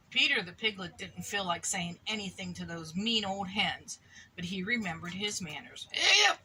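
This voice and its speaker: A woman reading a picture book aloud expressively to a child, pausing for little asides. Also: medium pitch, sharp tone, a little hiss or hum, low-quality recording. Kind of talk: animated storybook read-aloud to a child.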